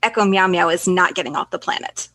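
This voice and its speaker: Bright, husky, feisty female space commander, acted. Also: fairly low pitch, dry recording. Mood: confident, brisk. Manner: brisk, confident, quick to bristle. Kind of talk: comedy sci-fi radio acting.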